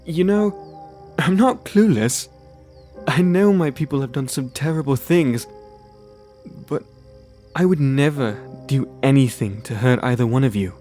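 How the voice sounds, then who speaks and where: An actor voicing a charismatic demon fiddler, roguish and protective with a wounded side, in a theatrical audio drama style. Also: male voice, medium pitch, animated, a little slow, pauses often, dark tone, soft background music, natural voice. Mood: earnest, resigned.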